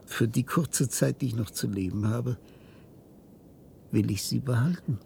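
An actor playing a weary, guilt-ridden old inventor in a science-fiction radio drama, halting and theatrical. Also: male voice, medium pitch, creaky, a little hiss or hum. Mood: grave. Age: older. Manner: halting, theatrical delivery with hushed passages.

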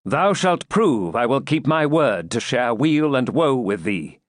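A man voicing a battered, determined Templar knight in an audiobook, solemn and forceful in archaic speech. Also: medium pitch, sing-song, unhurried, no echo, clean studio sound. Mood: grim urgency.

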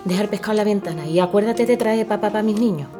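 Una mujer con acento andaluz interpretando a una madre angustiada y suplicante en una ficción sonora. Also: female voice, medium pitch, quick pace, over music, lightly acted. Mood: subdued, grateful. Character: distressed pleading mother confined at home by husband. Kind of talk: audio drama acting.

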